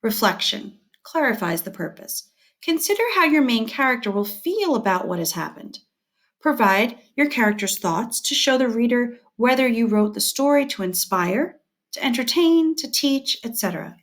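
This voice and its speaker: A woman teacher reading scripted instructional material aloud, setting out headings and short points in a measured, deliberate way. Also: fairly high pitch, sing-song.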